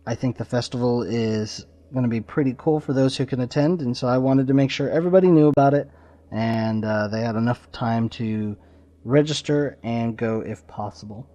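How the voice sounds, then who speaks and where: A man's voice: mid-range voice, moderate pace, warm tone. A man hosting a podcast, giving casual introductions in an informal, slightly rambling way. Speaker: podcast host. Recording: slightly dull lo-fi audio.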